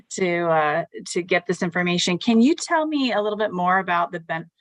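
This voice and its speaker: A woman's voice: lowish voice, expressive, normal speed, dry recording, low-quality recording. A woman taking part in a conference call, asking a question in casual speech.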